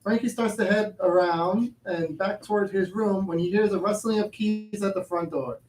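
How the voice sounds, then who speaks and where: A man's voice: high voice, normal speed, a little mellow. A man reading stage directions as scripted narration for a play. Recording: echoey room, distant, background noise.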